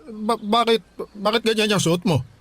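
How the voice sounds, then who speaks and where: An actor playing a caring, baffled father in a radio drama, questioning hesitantly with gentle exasperation. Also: high-pitched, steady tone, moderate pace, no echo. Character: worried, baffled, caring middle-aged father. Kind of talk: Tagalog radio drama acting.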